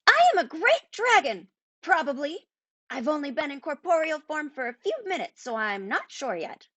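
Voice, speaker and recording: Woman putting on a high-pitched, boastful little wyvern. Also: big pitch swings, normal speed, a bit bright. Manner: self-important, pompous. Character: tiny male worm-like wyvern familiar, boastful and proud.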